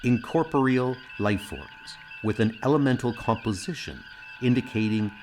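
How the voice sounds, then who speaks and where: A man playing a calm, precise, logical alien science officer in an amateur comic audio drama, speaking formally and without emotion. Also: mid-range voice, slight background noise, close to an everyday voice. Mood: calm, clinical. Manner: measured, formal, deadpan.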